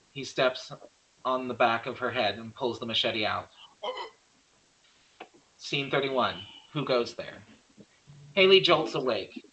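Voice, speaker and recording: A man narrates a horror screenplay, reading scene headings and action plainly. His voice is medium in pitch with a bright, forceful edge. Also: low-quality recording. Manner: plain stage-direction reading. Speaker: script narrator.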